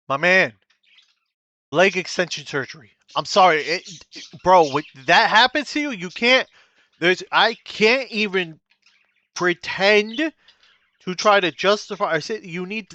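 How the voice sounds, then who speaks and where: A man's voice: high voice, unhurried, long pauses, pushed and bright. A man reacting to a video in casual commentary, blunt and crude, repeating his phrases as he talks. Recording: slightly dull dry recording.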